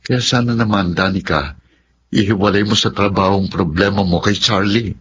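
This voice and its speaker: A man plays a caring, practical young Filipino man in a radio drama. His voice is low and conversational. The sound is dull, with noise behind it. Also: moderate pace, fairly bright tone, natural voice. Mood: exasperated, concerned. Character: practical, caring young male events host, business partner. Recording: dull, noisy recording.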